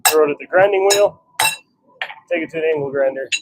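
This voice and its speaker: A man chatting casually on a live stream, thinking out loud as he works in his workshop. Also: on the high side, a little fast, heavy background noise. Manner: chatty, thinking out loud while working at the forge. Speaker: livestream host, hobby blacksmith. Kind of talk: casual live-stream chat while working. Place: home forge workshop.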